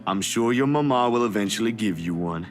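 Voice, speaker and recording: A woman voicing a mild, patient adult man in a visual novel, speaking in an awkward, low-key way. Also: expressive, brisk, faint music behind. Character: adult male photographer, mild and patient with kids.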